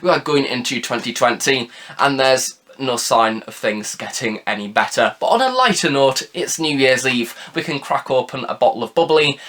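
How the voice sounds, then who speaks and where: A man's voice: medium pitch, expressive, moderate pace, a bit bright. A young man hosts his own review channel, talking through a yearly countdown in a chatty, upbeat way that leans on stock phrases. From United Kingdom. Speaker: audio drama reviewer, channel host. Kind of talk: scripted video review monologue.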